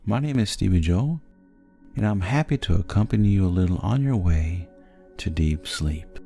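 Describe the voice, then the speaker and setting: Male sleep hypnosis narrator, very low and gravelly, soothing and measured, over faint music. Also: animated. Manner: soothing, gentle, measured delivery. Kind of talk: sleep hypnosis guided meditation, scripted narration.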